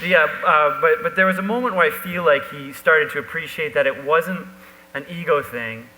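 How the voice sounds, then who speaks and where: A man talks as an author at a live book event, in a halting way with many repeated words. His voice is very bright and forceful, at a middling pitch. It rings in a reverberant hall with a faint hum behind it. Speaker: author on a discussion panel. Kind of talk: live on-stage conversation about a book.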